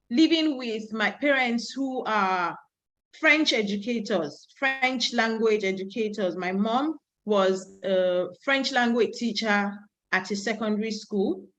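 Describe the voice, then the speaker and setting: Woman from Nigeria, thirties, conference speaker, storytelling asides, bright crisp voice, slightly high. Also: animated, slow, low-quality recording. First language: Yoruba. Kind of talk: presentation talk.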